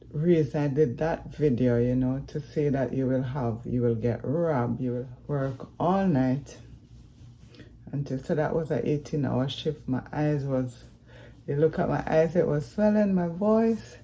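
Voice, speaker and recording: A woman with a Jamaican accent and a husky voice, earnestly giving a casual vlog update to her viewers. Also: deep voice, dark tone. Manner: earnest, repetitive, addresses viewers as guys. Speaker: vlogger.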